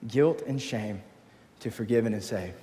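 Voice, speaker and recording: A man's voice: lowish voice, moderate pace, warm tone. Man preaching in a big echoing hall. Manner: emphatic preaching, repeating phrases. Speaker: pastor. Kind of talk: sermon. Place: large church hall.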